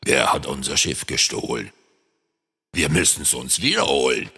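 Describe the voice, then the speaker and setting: A man's voice: rumbling low, measured pace, breathy edge, heavily acted. A man reading a children's storybook, voicing a hot-tempered pirate captain who rants and fumes. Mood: furious. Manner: excitable, hopping mad. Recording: long reverb.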